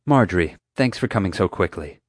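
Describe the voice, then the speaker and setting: A man's voice: deep voice, lively intonation, brisk, no room sound, clean studio sound. A man voicing a stern, exasperated mother who speaks up for her son in an audiobook, indignant and demanding. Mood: polite. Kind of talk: audiobook character voice.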